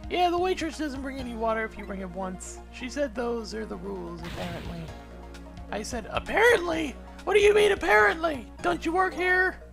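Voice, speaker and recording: A man's voice: very high voice, expressive, moderate pace, creaky, music in the background, voice pushed up, exaggerated voice. A man voicing a chatty, stubborn young woman detective from a video game. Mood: indignant. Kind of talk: video game character dub in a let's play.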